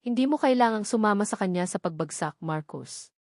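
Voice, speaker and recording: Woman voicing male investigator, Filipino accent, composed, calm but emphatic, persuasive, audio drama, dry sound. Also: mid-range voice, brisk, no room sound, close to an everyday voice. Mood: calm, firm.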